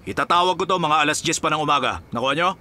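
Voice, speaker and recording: A man's voice: fairly high pitch, racing, pushed and bright. A man with a Filipino accent playing a dedicated, teasing and affectionate policeman husband in an audio drama. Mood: commanding. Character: playful, dedicated policeman husband, loving and determined.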